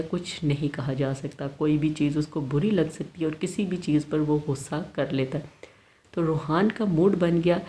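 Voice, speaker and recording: A woman narrating a recap of a celebrity family's vlogs, in a steady storytelling way with light commentary of her own. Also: low-pitched. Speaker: celebrity news vlogger.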